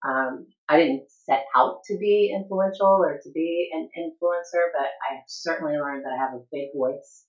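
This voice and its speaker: Low-pitched woman guest, candid, lots of ums, echoey dull recording. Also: moderate pace, few pauses. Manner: candid, laughs often, many "um" restarts. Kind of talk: casual interview talk. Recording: echoey room, dull lo-fi sound.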